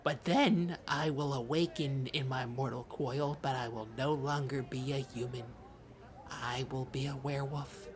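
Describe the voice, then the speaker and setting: A podcast host chatting in an improvised comedy, halting and stammering like a nervous, gullible, childlike character. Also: medium pitch, steady tone, crowd noise.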